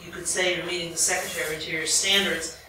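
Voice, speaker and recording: An American woman in the audience asking a long, spontaneous question in a halting, deliberate way. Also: fairly low pitch, level tone. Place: echoey lecture room. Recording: very echoey room, distant mic, faint noise.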